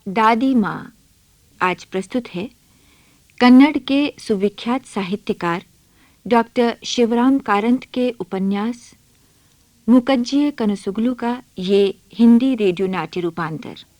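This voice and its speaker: A woman's voice: a little high, a little slow, a little mellow, no room sound. A woman announcing a radio play in a formal, scripted style.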